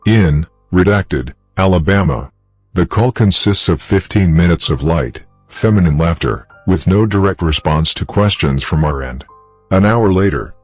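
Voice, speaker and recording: Very deep-voiced man narrating clinical horror reports. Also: a little mellow, no room sound. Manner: formal, clinical, measured.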